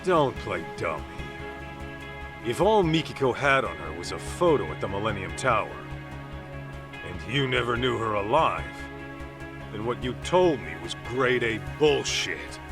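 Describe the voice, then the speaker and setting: An actor playing a tough, hot-tempered middle-aged investigator in a dramatic video game cutscene, speaking in a blunt, confrontational way. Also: male voice, mid-range voice, big pitch swings, unhurried, over music. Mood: accusing.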